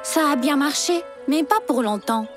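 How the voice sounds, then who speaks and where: A woman voicing a kind, thoughtful young girl who reasons through problems gently in a dubbed cartoon. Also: sits high, animated, moderate pace. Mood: caring. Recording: cartoon music score behind.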